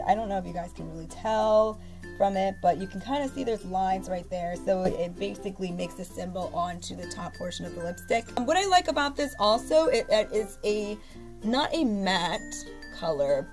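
A woman's voice: medium pitch, animated, moderate pace, over music. Stuffy-nosed young woman vlogging from her bedroom. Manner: rambling, lots of fillers and restarts. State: congested, stuffy from a cold. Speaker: beauty vlogger. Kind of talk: casual haul vlog to camera. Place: bedroom at home, indoors. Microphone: webcam at arm's length.

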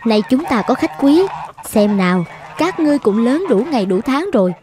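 Woman acting a brisk, lively farmer's wife. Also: fairly high pitch, crowd noise, close to an everyday voice. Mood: businesslike. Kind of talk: animated fairy tale dubbing. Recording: background music under the voice.

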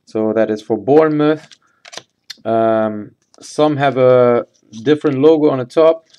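A man speaks slowly in an ordinary mid-range voice as he hosts a live card break. His commentary is casual and halting, with matter-of-fact phrases that tend to trail off. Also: mid-range voice, warm tone, clear, resonant tone, highs cut off. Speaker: host of sports card box breaks. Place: indoors at a tabletop.

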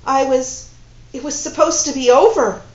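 Betrayed woman, tearful and furious, loud accusing outbursts at a raised pitch, read by a woman narrator, dull roomy recording. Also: very animated, measured pace. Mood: anguished. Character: distraught, furious woman who feels betrayed.

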